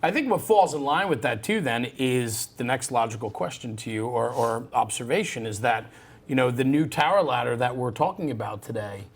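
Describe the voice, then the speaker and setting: A man's voice: mid-range voice, expressive, fairly quick, slightly rough. A man hosting a conversational interview, recapping points and often checking them with a "right?". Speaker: interviewer host. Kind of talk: conversational interview about firefighting.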